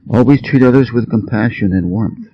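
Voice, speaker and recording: Male story reader, mid-pitched, measured scripted fable narration for learners, very dry, slightly dull recording. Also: expressive, gravelly, husky, no echo.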